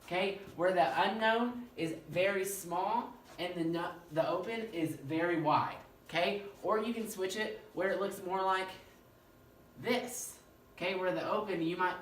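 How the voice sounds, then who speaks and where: A male professor with a fairly high-pitched voice, giving an animated classroom lecture and often checking in with "okay?". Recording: echoey room, mic far off, faint noise.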